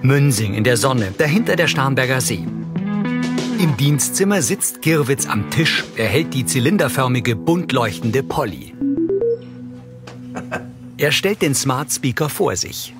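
A man's voice: medium pitch, animated, measured pace, long pauses, over loud music. A man narrating scenes in a neutral scripted style for an audio description track.

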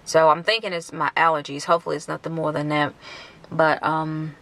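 A woman's voice: deep voice, level tone, a little fast, a bit bright, rough recording. Chatty American woman vlogging. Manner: informal, chatty, colloquial. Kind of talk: casual chat to camera. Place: parked car and rooms at home. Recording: some background noise.

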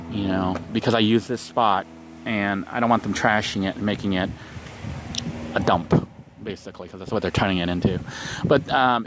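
Casual male vlogger, low-ish voice, earnest and rambling, recorded outdoors on a camera mic. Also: noisy background. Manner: rambling, earnest, repeats himself. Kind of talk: casual advice vlog. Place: outdoors on a vacant lot.

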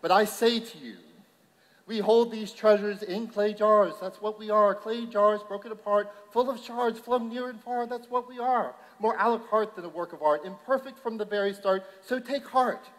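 A man's voice: high-pitched, normal speed. A man reciting rhythmic slam poetry as a preacher-poet, punchy, emphatic and declamatory. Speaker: reverend performing slam poetry. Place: large hall at a youth conference. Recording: reverberant large hall.

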